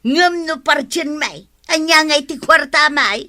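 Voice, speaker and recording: Sharp, high-voiced stingy wife. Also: female voice, unhurried. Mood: indignant. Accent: Ilocano. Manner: exclaiming, complaining. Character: stingy, grumbling village wife. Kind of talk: Filipino radio comedy drama acting.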